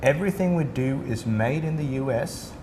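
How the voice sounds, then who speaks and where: Swedish-accented man, company rep, mid-pitched, detailed friendly explainer, noisy room. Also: expressive, moderate pace. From Sweden. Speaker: company representative. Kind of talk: product demo interview.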